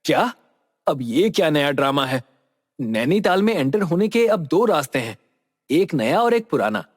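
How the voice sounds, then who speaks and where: A man voicing excitable young friends bickering casually in a horror story. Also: fairly high pitch, moderate pace, long reverb tail, pitched below the performer's own voice. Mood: annoyed, casual. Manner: exclamatory, casual banter. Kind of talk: horror storytelling character voices.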